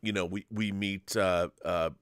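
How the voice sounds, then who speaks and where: A man hosting a casual film discussion, talking in a halting way with repeated and rephrased starts. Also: low-pitched, steady tone, clean tone, no echo, clean studio sound.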